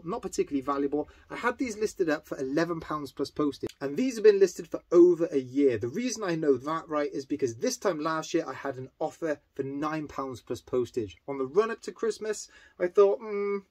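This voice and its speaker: A man's voice: fairly high pitch, lively intonation, normal speed, few pauses, a little mellow. A man in his thirties talking casually to camera about items he has resold, upbeat and chatty, in a room lined with shelves. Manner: chatty and upbeat, walking through sales. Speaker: eBay reseller vlogger. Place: indoor storage room lined with toy shelves.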